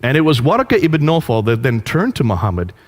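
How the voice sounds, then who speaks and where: A man giving a lecture to an audience, telling a story with animated delivery and rhetorical questions. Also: mid-range voice, fast.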